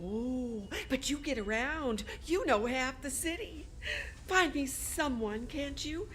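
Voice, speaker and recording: A frazzled department store promotions manager in a live radio play. Her high voice swings up and down, fretful and excitable as she chatters. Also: takes it slowly, full character voice. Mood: worried. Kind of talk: live radio drama acting.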